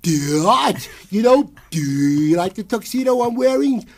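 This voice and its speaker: A man voicing a dopey, slow-witted, cheerfully oblivious tavern regular from old radio comedy, with a drawling "duh" delivery. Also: high voice, very animated, voice pushed up, heavily acted. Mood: dim, cheerful.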